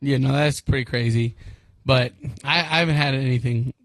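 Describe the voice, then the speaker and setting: A man's voice: mid-range voice, fairly even intonation, moderate pace, fairly bright tone, dry recording. A man in his thirties co-hosting a podcast, chatting casually and telling stories with a lot of swearing. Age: 30s. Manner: storytelling, swearing, mimicking people. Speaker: podcast co-host. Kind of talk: casual chat.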